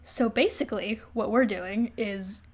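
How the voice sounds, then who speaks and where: A woman's voice: mid-range voice, a little slow, darkish tone. A woman co-hosting a podcast in casual comedic banter, sarcastic and self-deprecating, happy to swear. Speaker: podcast co-host.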